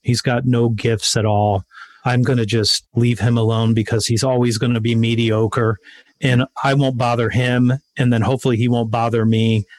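A man's voice: mid-range voice, flat delivery, normal speed, darkish tone, no room sound. A man speaking as a podcast guest, emphatic and motivational, repeating his main points. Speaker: guest, sales author. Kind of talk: interview conversation.

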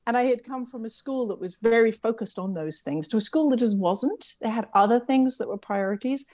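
A woman's voice: on the high side, a little fast, a little mellow. A middle-aged Englishwoman with a slightly mid-Atlantic English accent, talking reflectively as a guest in an interview and laughing lightly at herself. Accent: English, with some American influence. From England. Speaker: interview guest, camp CEO. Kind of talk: conversational interview.

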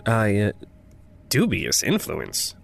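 Earnest, awkward, lively-pitched mage. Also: male voice, slow pace, creaky, heavily acted. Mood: awkward, hesitant. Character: earnest, awkward, supportive male magic user. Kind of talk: audio fiction acting. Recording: music under the voice. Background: music.

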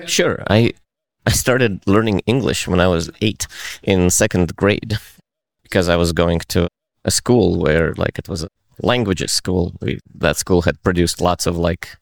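Deep gravelly man. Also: no room sound. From Uzbekistan. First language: Russian. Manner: hesitant, wry understatement. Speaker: meetup attendee. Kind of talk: casual group conversation. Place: indoor event space.

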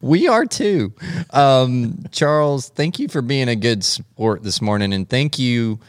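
A man's voice: medium pitch, lively intonation, slow pace, no room sound, clean studio sound. A man hosting a podcast with casual, comic banter. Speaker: podcast host.

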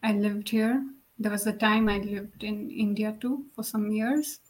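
A woman's voice: medium pitch, steady tone, unhurried, rough recording. A woman from Nepal, a guest on a casual video call chat, speaking in short, plain statements.

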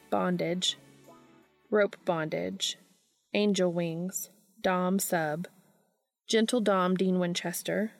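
A woman podcast host reads a scripted story in a lowish voice with plenty of fry. The recording is dry and close, with faint music. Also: dry recording. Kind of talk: scripted narration, fan fiction reading.

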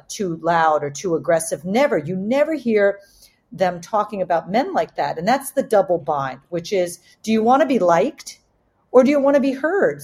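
A woman's voice: mid-range voice, a little mellow. A woman speaking candidly as a company co-founder on a panel, motivational and emphatic, repeating phrases to drive points home. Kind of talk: candid discussion, motivational business talk.

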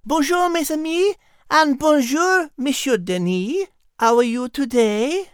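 Female performer as French storybook cat, high pitch, lilting melody, close dry sound, courteous, proper. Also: animated, measured pace, dry recording. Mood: polite, puzzled. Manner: polite, genteel, precise.

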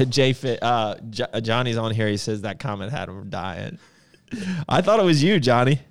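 A man's voice: medium pitch, lively intonation, normal speed. A man co-hosting a casual livestream, reading viewer comments with a playful, laughing manner. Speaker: co-host of a brand livestream.

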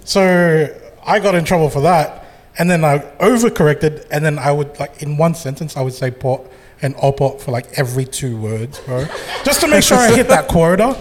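A man's voice: fairly high pitch, lively intonation, moderate pace, few pauses. A man from the Philippines co-hosting a live podcast, joking and teasing the others as he tells a story. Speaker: podcast co-host. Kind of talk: casual live panel chat. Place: live conference stage.

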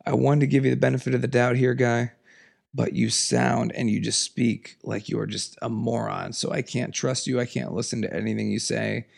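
A man hosting a solo podcast, raspy from a cold, talking in an earnest, emphatic, passionate way. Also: steady tone, moderate pace. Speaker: podcast host. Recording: lower audio quality.

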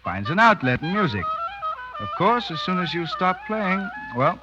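An actor in a 1930s radio drama playing a seasoned, wry cavalry lieutenant with a needling, colloquial way of talking. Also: male voice, high-pitched, sing-song, normal speed. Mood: teasing. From Canada. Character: seasoned cavalry lieutenant, wry, insubordinate, big-brotherly man. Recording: dull vintage 1930s radio recording, music behind.

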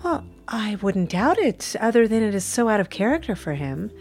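An actress playing a gossipy, loyal married office woman, chatty, inquisitive and expressive, in an audio drama. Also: mid-range voice, moderate pace, faint music behind. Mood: concerned, curious.

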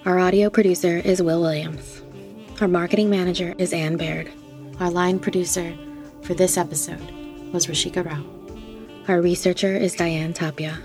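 A woman's voice: on the low side, little pitch movement, normal speed, darkish tone. A woman reading scripted announcements and credits for a podcast. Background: music.

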